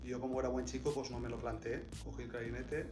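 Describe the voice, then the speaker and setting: A man's voice: medium pitch, level tone, fast talker, a little mellow, soft background music. Man from Valencia, echoey room. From Valencia, Spain. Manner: reflective, many restarts and repeated words. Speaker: clarinetist in a quartet. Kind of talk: interview answers.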